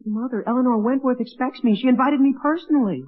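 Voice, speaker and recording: An actor playing a flustered, evasive teenage son who stammers, in 1940s radio sitcom style. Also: male voice, very high-pitched, quick pace. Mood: nervous. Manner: stammering, evasive, exclaiming gee whiz. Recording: tinny band-limited old radio recording.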